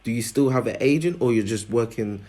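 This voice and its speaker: A man interviewing a footballer, asking his questions haltingly with plenty of fillers. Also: mid-range voice, dark tone. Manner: hesitant, many fillers while asking.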